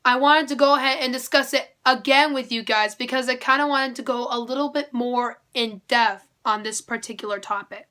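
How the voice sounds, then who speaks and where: A young woman talks straight to her viewers in a chatty, upbeat way. Her voice is high and bright, with a nasal, congested sound from a head cold. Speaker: vlogger. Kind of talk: casual talk to camera.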